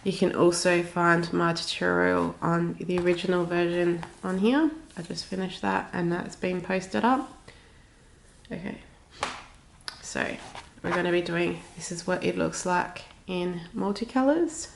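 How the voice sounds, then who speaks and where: Snuffly, creaky-voiced woman teaching crochet. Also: lowish voice, unhurried, a little hiss or hum. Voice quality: snuffly, slightly stuffed-up nose. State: has a cold. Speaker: crochet tutorial host. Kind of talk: casual step-by-step craft tutorial.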